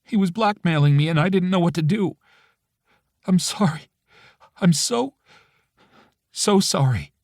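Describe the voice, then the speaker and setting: A frantic, tearful young woman begging on a phone call, her voice cracking as she pleads, put on by a male narrator. The voice sits well above his own low register and comes through close and dry. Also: normal speed, a little mellow, breathy edge, no room sound. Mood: pleading. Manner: pleading, voice breaking with tears.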